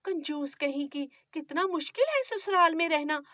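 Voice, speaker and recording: A woman's voice: lively intonation, normal speed, bright, forceful tone, dry recording, studio quality. A woman voicing a young daughter-in-law who complains about her stingy mother-in-law. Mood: complaining. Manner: high, complaining.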